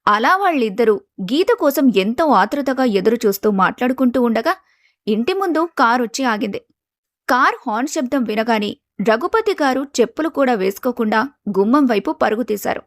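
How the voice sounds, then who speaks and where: Female narrator, high voice, scripted moral story narration, very dry close recording. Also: animated, normal speed, no echo.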